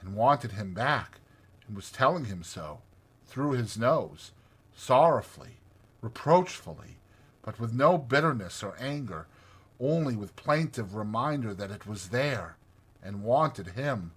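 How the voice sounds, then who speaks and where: A man narrating a classic storybook in an expressive, theatrical way. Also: medium pitch, measured pace, breathy edge. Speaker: audiobook narrator.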